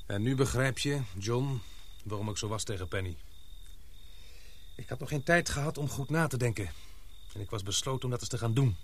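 A male actor plays a grave expedition man in a radio play. His voice is a little rough and husky, at a middling pitch. He speaks deliberately and emphatically, as someone suspicious and piecing a plot together. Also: close to an everyday voice. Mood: grave, reasoning. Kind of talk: Dutch radio play acting.

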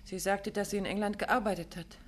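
An actress playing a detective's attentive, cautious wife in a 1950s German radio play, with an earnest, questioning manner. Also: female voice, mid-range voice, fairly even intonation, fairly quick, close to an everyday voice. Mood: puzzled.

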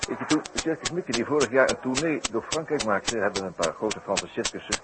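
An actor playing a sly, mocking French police detective in a 1960s Dutch radio play, speaking in a wry, theatrical, deliberate way. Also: male voice, medium pitch, animated, lightly acted. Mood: calm, explaining. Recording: very noisy, clicky 1960s radio recording.

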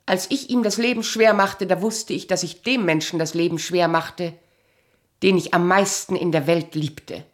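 A woman playing a proud, bitter abandoned wife in a radio drama, sharp-tongued, imperious and sardonic in a theatrical style. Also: lowish voice, moderate pace, lightly acted. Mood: bitter, reflective.

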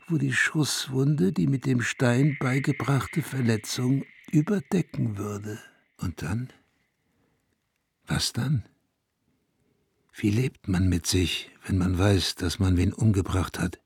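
An actor playing a brooding, reflective narrator from a dying town, in a measured, literary radio play monologue that drops to a hush in places. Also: male voice, medium pitch, vocal fry, slight background noise. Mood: grim, brooding.

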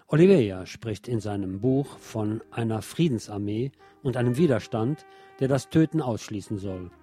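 A man's voice: lowish voice, animated, normal speed, warm tone, soft background music. A man presenting scripted radio news with wry asides.

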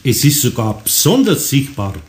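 An older Bavarian master craftsman played by a man, kindly and fond of teasing. His voice swings widely in pitch as he speaks in homely dialect, in the style of a children's radio drama. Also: big pitch swings, normal speed, close to an everyday voice. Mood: amused, teasing. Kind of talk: German children's radio play acting.

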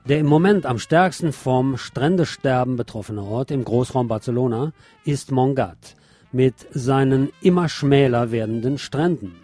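Man, radio news presenter, scripted reading, mid-to-low everyday pitch, faint music behind. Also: normal speed, warm tone.

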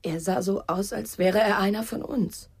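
A woman's voice: medium pitch, fairly even intonation, normal speed, slightly rough, no room sound. An actress playing a guarded, worried woman with a reserved, questioning manner in a German radio crime drama. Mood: anxious, reserved. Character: calm, guarded woman worried for her nephew.

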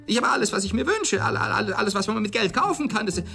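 An actor in a German radio play voicing a capricious, agitated millionaire with a trembling voice that turns shrill, vehement and imperious. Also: male voice, expressive, fast talker, sharp tone, faint music behind, full character voice. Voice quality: husky, creaky, trembling, turning shrill. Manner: vehement, repeats words, imperious. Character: capricious, agitated millionaire obsessed with a nightly dream.

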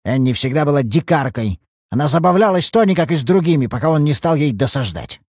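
A man voicing a self-pitying, hot-tempered elderly father with a shrill, quavering voice. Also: animated, fast talker, darkish tone, dry recording, studio quality. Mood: scornful.

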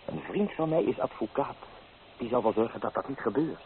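An actor playing an impetuous, romantic young student lover, coaxing and dramatic, in a radio play. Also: male voice, mid-range voice, animated, a little fast, lightly acted. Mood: ardent, persuasive. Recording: tinny, noisy old radio play.

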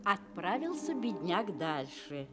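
A woman's voice: lowish voice, sing-song, takes it slowly, over loud music. A woman narrating a scripted fairy tale for children. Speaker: storyteller, narrator.

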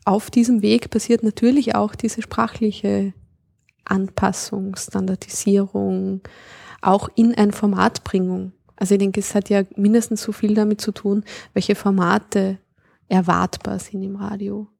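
A middle-aged woman, a linguist, explaining her ideas in a measured conversational way while she searches for precise words. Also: mid-range voice, flat delivery, gravelly, a little mellow, slightly rough, no room sound, clean studio sound. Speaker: linguist guest.

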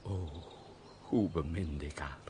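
An actor playing a wounded, gruff nobleman who shuns mankind, speaking formally and theatrically in a radio drama. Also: rumbling low, takes it slowly, noisy background. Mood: wistful. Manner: formal, theatrical, sorrowful storytelling. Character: bitter, wounded nobleman turned gruff reclusive misanthrope. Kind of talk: old radio drama acting.

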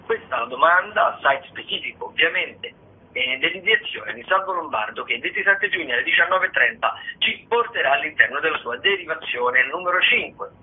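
A male radio host with a slightly high, husky voice talks through event listings conversationally. His phrasing is halting and wanders into asides. The sound is narrow and phone-like, as on a lo-fi broadcast. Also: animated. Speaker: radio theatre show host. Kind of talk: conversational radio listings of theatre and dance shows.

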